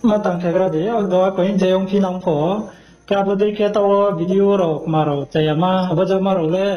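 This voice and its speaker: A man's voice: high-pitched, a little mellow, poor audio. A man talking informally in a recorded phone conversation.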